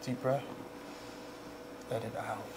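A man working as a chiropractor, giving a client calm, short, repeated instructions in a soft-spoken way. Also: medium pitch, slow pace. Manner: calm, repetitive short cues. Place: small indoor treatment room. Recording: noisy room ambience.

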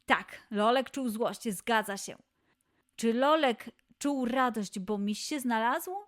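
Female kids' quiz host: high voice, slow pace, playful and encouraging delivery. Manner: encouraging, clearly addressing young listeners. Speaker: host of children's quiz show. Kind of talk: playful scripted quiz presenting for children.

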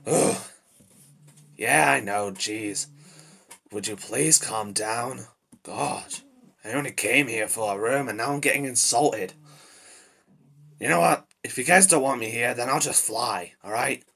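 A man voicing a short-tempered demon devil with bat-wing ears in amateur character acting, with a raspy voice and an irritable, flustered, blurting manner. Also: mid-range voice, measured pace, pauses often, bright, forceful tone, exaggerated voice. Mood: exasperated, angry. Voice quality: raspy, gravelly.

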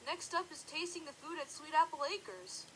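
Woman voicing a cheeky high-pitched baby dragon. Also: steady tone, normal speed, pushed and bright, heavily acted. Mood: skeptical. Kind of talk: cartoon-style audio drama acting.

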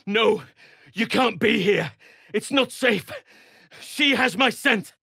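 An actor playing a solemn, gentle-hearted druid healer and warrior in a video game, speaking formally and with measured earnestness. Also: male voice, sits high, lively intonation, vocal fry, slightly rough, dry recording, studio quality, heavily acted. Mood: urgent, pleading.

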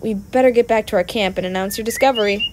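A woman playing a playful, excitable young male adventurer in an audio roleplay, chatty and expressive, affectionate with a partner. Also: medium pitch, quick pace, a little hiss or hum, natural voice. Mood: relieved, fond. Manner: breezy, giggly, expressive, chatty.

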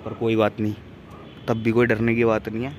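A man's voice: lowish voice, completely flat delivery, fairly quick. A young man vlogging casually outdoors on a phone, chatting upbeat to his viewers. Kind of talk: casual vlog to camera. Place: outdoors by a road at dawn. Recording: loud road and traffic noise. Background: traffic noise.

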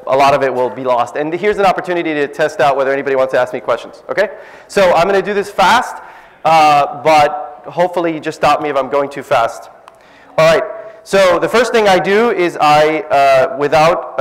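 A middle-aged man with a loud voice giving a casual, self-deprecating science talk to a cafe audience. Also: fairly high pitch. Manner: self-deprecating, lively, jokey. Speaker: science speaker. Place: cafe event space, indoors. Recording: echoey event space.

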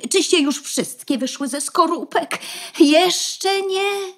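An actress playing a weary, proud, stubborn young mother duck in a radio play, with a husky voice. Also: very high voice, slow pace, a bit bright, full character voice. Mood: explaining, patient.

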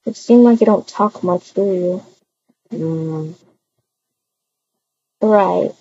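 A woman improvising roleplay dialogue for a video game in a hesitant, halting way with many hums. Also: on the low side, warm tone. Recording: dull muffled audio, faint noise.

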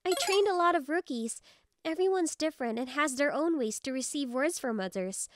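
A woman's voice: high-pitched, lively intonation, moderate pace, slight background noise. A woman playing a friendly, supportive young female office coworker in a manga video dub. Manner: encouraging, friendly.

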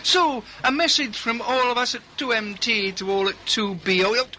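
Man playing an exuberant, bright-voiced 1920s radio announcer. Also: extremely high pitch, normal speed, a little hiss or hum, heavily acted. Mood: teasing. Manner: rambling, theatrical, playfully hesitant. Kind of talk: radio play acting as a 1920s broadcaster.

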